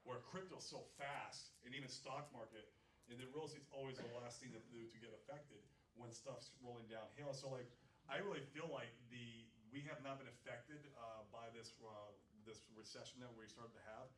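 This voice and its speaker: A man from Phoenix speaking on a seminar panel, animated and rambling, with frequent restarts. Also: mid-range voice, normal speed. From Phoenix, USA. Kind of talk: live seminar panel talk. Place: seminar room. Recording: echoey room, distant mic, faint noise.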